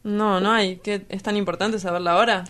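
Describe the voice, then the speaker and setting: A woman's voice: medium pitch, fairly even intonation, moderate pace, background noise, natural voice. Woman playing a crude, teasing Argentine street poet. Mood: casual teasing. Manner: blunt, vulgar, teasing. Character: crude, idle young male street poet, teasing and cynical. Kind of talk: radio drama dialogue.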